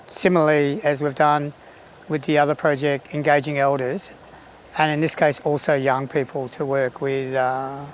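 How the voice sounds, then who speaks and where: Australian man, measured research lead. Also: on the high side, slight background noise, low-quality recording. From Perth, Western Australia. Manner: measured, with hesitations and throat clearing. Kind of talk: interview, reflective explanation.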